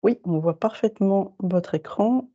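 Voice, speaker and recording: A woman's voice: medium pitch, measured pace, warm tone. A woman moderating a webinar, thanking speakers and giving polished introductions. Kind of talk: formal moderation. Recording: muffled lo-fi video call audio.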